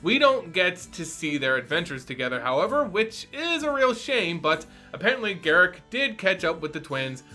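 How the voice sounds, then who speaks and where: Male lore host and storyteller: forceful bright delivery, very expressive pitch, playful teacherly manner, music bed. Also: moderate pace, hardly any pauses. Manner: playful lecturer addressing listeners as students. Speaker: host and narrator of a fantasy lore channel. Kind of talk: dramatic story reading and scripted lore commentary.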